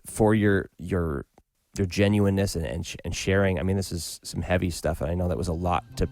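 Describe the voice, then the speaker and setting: A man's voice: low voice, fairly quick, vocal fry, a little mellow. A man hosting a podcast, talking in an informal, conversational way. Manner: informal, appreciative, says "man" and "dude" often. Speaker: podcast host. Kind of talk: casual chat and informal host outro.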